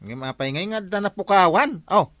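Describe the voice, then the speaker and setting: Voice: mid-range voice, animated, moderate pace, a bit bright, no echo, studio quality. A performer playing an inquisitive, scheming village watch volunteer in a comedy radio drama, questioning and coaxing with a northern Philippine Ilocano accent.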